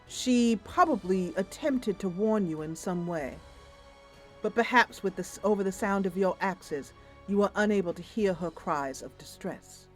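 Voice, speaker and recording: A woman playing a gracious, diplomatic fae sister in a fantasy roleplay, measured, formal and gently persuasive. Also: medium pitch, faint music behind, close to an everyday voice. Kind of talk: tabletop fantasy roleplay character.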